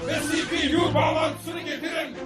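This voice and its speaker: A man voicing a furious alien villain commander in a cartoon dub, shouting menacing orders. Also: extremely high pitch, steady tone, normal speed, far from the mic. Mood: furious, commanding. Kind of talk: dubbed cartoon villain acting. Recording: echoing voice under loud music, crowd noise.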